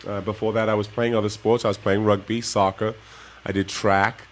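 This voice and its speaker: A man, a former professional basketball player, telling his life story to kids in a relaxed way and laughing often. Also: fairly low pitch, brisk. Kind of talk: casual interview talk to kids.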